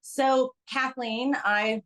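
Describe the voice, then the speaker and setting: A woman's voice: sits high, fairly even intonation, extremely slow, a bit bright. Earnest Belgian-born woman explaining her business. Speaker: business owner guest. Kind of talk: casual virtual interview. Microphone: video call.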